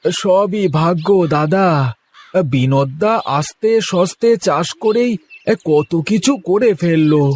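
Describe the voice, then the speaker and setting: Man acting a high-pitched, chatty village fellow. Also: slow, a little mellow. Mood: teasing, opinionated. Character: chatty, nosy village man, giving neighbourly opinions. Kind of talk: cartoon dialogue.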